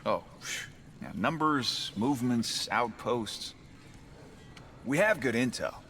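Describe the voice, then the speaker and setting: An actor playing an easygoing, self-deprecating rebel fighter with a casual, wry manner in a video game. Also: male voice, mid-range voice, expressive, takes it slowly, pushed and bright, in a large hall, soft background music, heavily acted.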